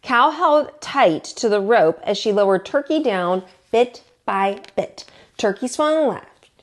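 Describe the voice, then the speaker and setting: A woman reads a children's picture book aloud in a fairly high, very lively voice. She takes her time with each phrase, like a teacher at storytime, shaping the story expressively. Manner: animated, deliberate storytelling delivery.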